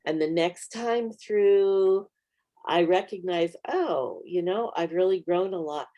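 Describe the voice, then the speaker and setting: A woman with a slightly low-pitched voice hosts a small-group Bible study. She speaks conversationally, in a reflective and encouraging manner, as if advising group members. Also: slow, mellow. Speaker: Bible study video host.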